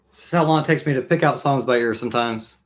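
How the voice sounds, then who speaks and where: A man in his thirties, hoarse from losing his voice, chatting casually on a live stream from a small home music room, musing and restarting his sentences. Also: medium pitch, fast talker. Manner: musing, trailing off with restarts while playing. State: losing his voice, throat clearing. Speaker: livestream host and bassist. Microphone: webcam mic at desk distance, wears headphones.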